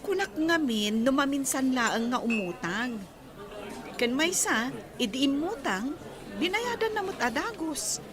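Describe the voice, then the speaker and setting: A woman's voice: high-pitched, sing-song, unhurried. An actress in a Filipino radio drama playing a frank, concerned young woman talking with a friend. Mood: concerned, probing. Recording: busy crowd noise behind.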